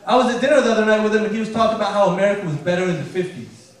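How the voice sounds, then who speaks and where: A young man with a high voice doing stand-up, telling deadpan stories and slipping into the people he describes. His voice is heard from a distance on a live stage mic. The room is very echoey. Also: very fast. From Afghanistan. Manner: deadpan storytelling, acting out characters in quotes. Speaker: stand-up comedian. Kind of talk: stand-up comedy set. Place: comedy club stage.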